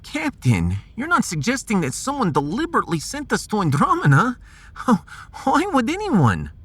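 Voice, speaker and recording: A man voicing an excitable, grumbling male scientist in a sci-fi audio drama, theatrical and sardonic. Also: sits high, normal speed, slightly rough, heavily acted. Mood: incredulous. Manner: theatrical, exclamatory.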